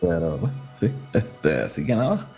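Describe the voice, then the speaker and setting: A man's voice: medium pitch, wildly swooping pitch, normal speed, gravelly, darkish tone, faint music behind. A man with a Puerto Rican Spanish accent hosting a podcast in casual chat, informally mixing in English words. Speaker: podcast host.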